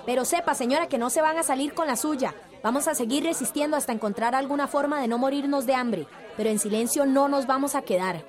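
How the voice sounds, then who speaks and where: Radio soap role, woman actor: insistent young female protester, extremely high pitch, defiant, crowd noise around. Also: fast talker, few pauses, close to an everyday voice. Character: defiant young townswoman protesting against the mayor. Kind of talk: radio soap opera acting.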